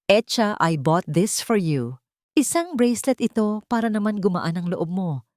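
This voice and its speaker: A woman's voice: medium pitch, very animated, moderate pace, a little mellow, dry recording, clean studio sound, natural voice. A woman voicing a guilty husband, hesitant, apologetic and placating, in an audio story. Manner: apologetic, pleading.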